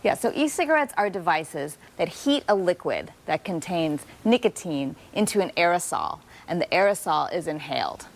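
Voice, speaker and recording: A woman doctor answers interview questions in a calm, measured, explanatory way. Her voice sits on the lower side, and she lays out facts clearly for a general audience. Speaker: doctor, expert guest. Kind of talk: expert interview.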